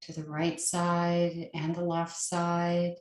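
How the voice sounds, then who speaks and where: A woman leading a guided meditation as a yoga nidra teacher, with a soothing, gentle and unhurried manner. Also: on the low side, monotone. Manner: soothing, unhurried, gentle instruction with long pauses. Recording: roomy, echoing sound.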